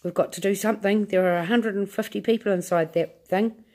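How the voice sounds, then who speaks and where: A woman's voice: mid-range voice, steady tone, brisk, a little mellow, close to an everyday voice. A woman voicing an earnest, eager young boy in a read-aloud storybook.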